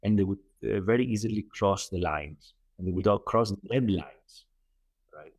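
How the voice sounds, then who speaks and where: A middle-aged man from Greece with a Greek accent, a coach answering interview questions earnestly, often repeating himself and pausing on fillers. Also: on the low side, a little mellow, dry recording, clean studio sound. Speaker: guest, holistic coach.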